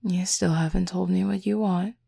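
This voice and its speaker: Woman acting as female werewolf lover, audio roleplay, mellow husky timbre, even intonation, teasing drawl, confident, playfully commanding. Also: fairly low pitch, moderate pace, full character voice. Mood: sultry, commanding. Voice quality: husky, gravelly.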